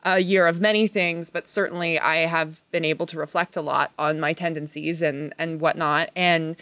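Earnest woman with a lowish, dry-recorded voice. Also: fairly even intonation, normal speed, a bit bright, no room sound. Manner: earnest, introspective. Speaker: actor, podcast panelist. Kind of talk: casual reflective conversation.

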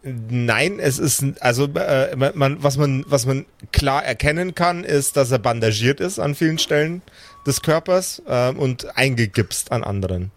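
Man narrating a tabletop game, mid-pitched. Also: animated. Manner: measured, descriptive, searching for words. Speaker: game master.

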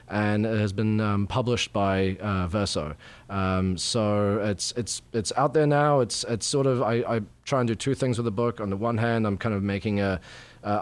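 A man answering interview questions as a guest writer, halting and full of restarts. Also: fairly low pitch, clear, resonant tone, dry recording. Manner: halting, many fillers and restarts.

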